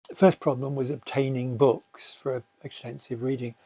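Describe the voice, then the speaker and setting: A man presents slides in an informal, explanatory way, like a teacher. His voice is husky and soft-edged, and he often pauses as he searches for words. The recording is dull and roomy. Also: medium pitch, level tone. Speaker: language teacher giving a talk. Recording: muffled, roomy home recording.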